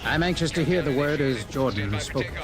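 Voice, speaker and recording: A man's voice: medium pitch, lively intonation, a little fast, lightly acted. A man playing an earnest, polite young scientist cadet in 1950s radio drama style. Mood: earnest, respectful. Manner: earnest, formal, careful. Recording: old radio drama, loud music behind.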